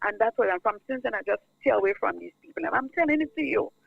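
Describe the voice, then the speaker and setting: A woman's voice: a little high, animated, quick pace, dry recording. A woman calling in to a radio show, insistent and talking over the host. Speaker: caller. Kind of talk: call-in radio talk. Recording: dry, gravelly phone line.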